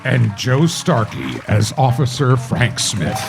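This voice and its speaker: Man, formal radio announcer, mid pitch, vintage crime show style, prominent live music. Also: slow, creaky, over a noisy crowd. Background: loud live orchestra music.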